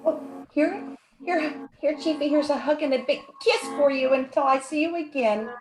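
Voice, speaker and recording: Echoey high-voiced scheming moll played by a woman. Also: moderate pace, over music, lightly acted. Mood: coy, scheming. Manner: cooing, melodramatic. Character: scheming femme fatale moll, sugary and two-faced. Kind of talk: 1940s noir radio acting, live community theatre. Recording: roomy, echoing live stage recording.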